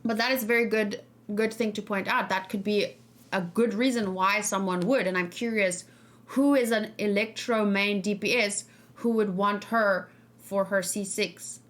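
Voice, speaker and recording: A woman's voice: mid-range voice, fairly even intonation, moderate pace, fairly bright tone. Female livestream host, mid-range voice, conversational and emphatic, puts questions to viewers. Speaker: streamer hosting a reaction and discussion. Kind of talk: casual livestream chat, answering viewers' questions.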